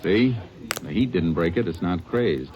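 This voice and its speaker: Cool-headed Ranger investigator, very deep voice, hissy muffled old radio tape. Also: male voice, lively intonation, measured pace. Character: calm methodical male lawman detective. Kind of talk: 1950s radio drama acting and narration.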